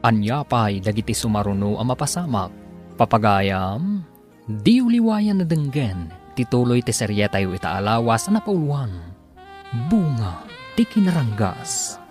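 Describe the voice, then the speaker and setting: A man's voice: mid-range voice, big pitch swings, a little slow, darkish tone, soft background music. A man announcing a radio drama series, in a formal and emphatic tone.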